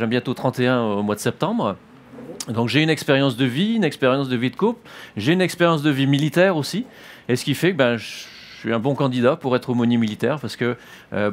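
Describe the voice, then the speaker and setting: Hesitant French-accented man in his fifties. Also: medium pitch. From northern France. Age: 50s. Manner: hesitant, many "euh" fillers and restarts. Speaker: military chaplain giving testimony. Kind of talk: church testimony.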